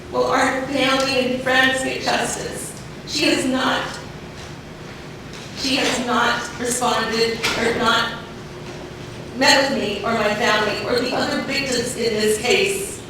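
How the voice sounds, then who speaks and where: A woman giving steady, earnest testimony as a public commenter at a board meeting. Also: fairly high pitch, unhurried. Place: large public meeting chamber. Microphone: body camera across the room. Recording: echoey hall heard from far off, noisy.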